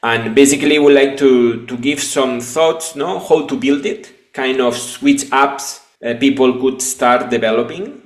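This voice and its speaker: A man with as his hosts a webinar as a business coach, talking haltingly with many restarts and tag questions. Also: medium pitch. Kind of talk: live webinar conversation. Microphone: video call. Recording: echoey room.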